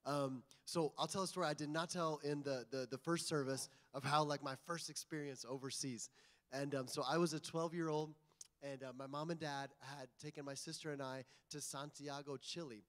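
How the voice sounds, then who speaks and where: A man's voice: a little high, steady tone, moderate pace, a bit bright, in a large hall. A man in his forties from Indiana sharing an earnest personal testimony as a guest speaker, with lots of ums. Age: 40s. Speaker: guest ministry leader. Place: church sanctuary.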